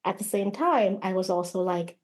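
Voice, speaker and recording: Expressive woman telling a personal story. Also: mid-range voice, normal speed. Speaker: guest playwright.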